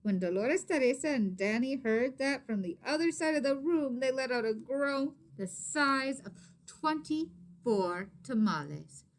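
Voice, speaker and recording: A woman reading a story aloud to children expressively, with dramatic emphasis and hushed asides to her listeners. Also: high-pitched, animated, a little slow, a little hiss or hum. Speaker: teacher or reader of a children's story.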